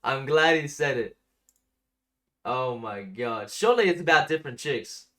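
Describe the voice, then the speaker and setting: Excitable man reacting to a song. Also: mid-range voice, a little slow. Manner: enthusiastic, rambling, lots of "like" and fillers. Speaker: music reaction host. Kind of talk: casual reaction commentary over a song.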